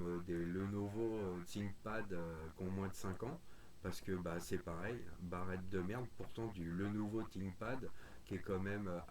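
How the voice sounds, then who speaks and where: Deep-voiced Frenchman chatting casually over noise. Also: a little mellow. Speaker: radio hobbyist chatting on a group call stream. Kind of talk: casual chat.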